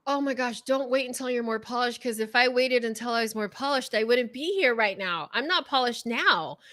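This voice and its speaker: A middle-aged woman with a California Valley accent, whose first language is Korean, talking fast and passionately as a coach in an interview, sometimes stumbling over her words. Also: fairly high pitch, a bit bright, no echo. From Southern California. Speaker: guest coach. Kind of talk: energetic interview chat.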